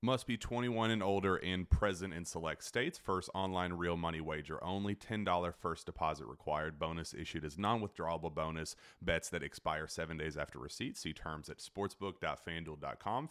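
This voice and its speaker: A man reads scripted advertising fine print. His voice is deep, smooth and clean, in the style of a legal disclaimer. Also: brisk, hardly any pauses, a bit bright.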